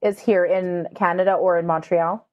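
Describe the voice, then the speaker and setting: A woman's voice: lowish voice, fairly even intonation, normal speed, narrow, tinny audio. A woman hosting a podcast, asking interview questions and affirming her guest. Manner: encouraging, affirming. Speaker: podcast host.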